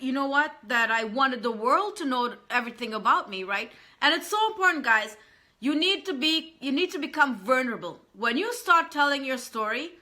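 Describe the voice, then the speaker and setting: A woman's voice: high voice, bright, forceful tone. A woman, earnestly talking to live-stream viewers as a business coach and often repeating herself. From Trinidad and Tobago.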